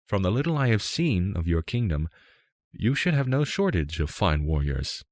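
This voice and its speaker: A man's voice: low voice, very animated, normal speed, darkish tone, no echo, studio quality, voice pushed up. A man playing a modest young warrior in a fantasy storybook, courteous and a little hesitant. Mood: polite, modest. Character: polite, modest young male warrior guest.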